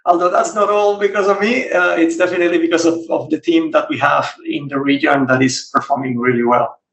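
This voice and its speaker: Gravelly-voiced man from Spain, roomy sound. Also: a little high, fairly quick, distant mic. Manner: relaxed, explanatory, with frequent restarts. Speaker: guest, regional software executive. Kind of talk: podcast interview answers.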